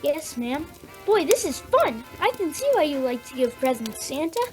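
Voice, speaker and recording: Woman acting high-voiced, polite little boy. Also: very animated, moderate pace, darkish tone, soft background music. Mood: happy. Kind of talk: old-time radio comedy acting.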